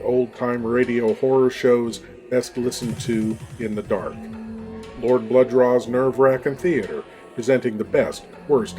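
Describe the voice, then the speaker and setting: A man's voice: mid-range voice, dark tone. A man announcing a scripted podcast promo in the role of narrator.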